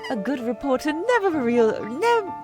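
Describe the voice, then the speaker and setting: A woman voicing a nosy teenage girl reporter who talks in an excited, gossipy way, reading game dialogue aloud. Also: sits high, moderate pace, over music, voice pushed up.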